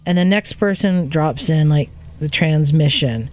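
An enthusiastic woman hosting a podcast, telling a story casually. She recounts what she saw with open excitement and a conversational flow. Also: low voice, unhurried. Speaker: podcast host recounting a trip.

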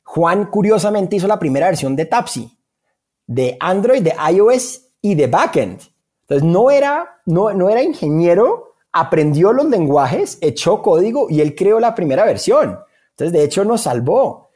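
A man's voice: high-pitched, very animated, normal speed, darkish tone, breathy edge. A man with a Colombian accent answering interview questions in an informal, conversational way, often repeating words for emphasis. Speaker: interview guest, startup founder.

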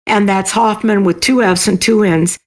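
A woman's voice: medium pitch, steady tone, normal speed. A middle-aged woman speaking casually as an author being interviewed.

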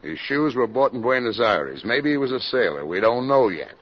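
Blunt American police captain, dull recording. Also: male voice, medium pitch, sing-song, moderate pace. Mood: matter-of-fact. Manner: plain-spoken, argumentative. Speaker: actor. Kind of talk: 1950s American radio drama acting. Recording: dull vintage 1950s radio recording.

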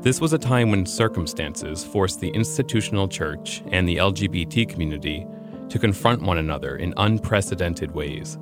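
A man with a very low voice narrates a documentary podcast, measured and earnest. Guitar music plays clearly underneath him. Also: lively intonation. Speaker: podcast host. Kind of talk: scripted narration.

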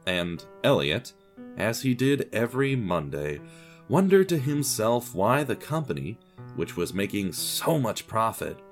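Low-voiced man narrating with a lively range. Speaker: narrator of an audio fiction podcast. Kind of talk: scripted dramatic storytelling narration.

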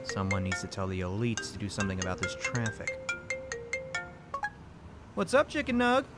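An actor playing a grumpy, suspicious, self-important flat-earther in a comedic audio drama, with a pompous, grumbling delivery. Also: male voice, fairly low pitch, moderate pace, loud music behind the voice. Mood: irritated, flippant.

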